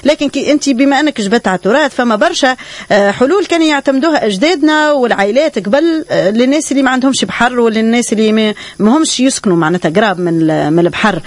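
A woman with a Tunisian accent hosting a radio phone-in show, brisk and dropping short affirmations as callers talk. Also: a little high, lively intonation, no room sound. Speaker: radio host.

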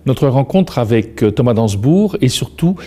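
Man, web series host, formal and polite, expressive pitch, mellow tone. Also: normal speed. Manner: courteous, formal introductions. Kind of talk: interview host.

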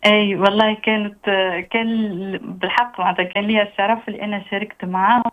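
A Tunisian woman speaks quickly over a tinny phone connection, her talk full of hesitations and little French expressions. She answers an interviewer's questions in relaxed, chatty fashion as a theatre artist. The line sounds thin and narrow. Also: mid-range voice. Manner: hesitant, many fillers, mixes in French. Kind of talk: casual interview.

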